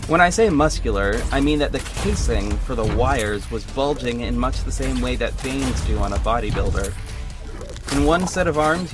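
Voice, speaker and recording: Guy as young hero son narrating, earnest and conversational, mid pitch, faint music under. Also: natural voice. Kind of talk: scripted first-person audio drama narration.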